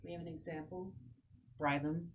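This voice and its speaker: A woman's voice: low voice, fairly even intonation, moderate pace. A woman chatting casually as a player in a tabletop roleplaying game. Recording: muffled, slightly noisy group recording.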